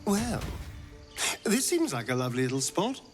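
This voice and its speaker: A sneering, sarcastic rogue. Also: male voice, on the high side, big pitch swings, moderate pace, soft background music. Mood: mocking.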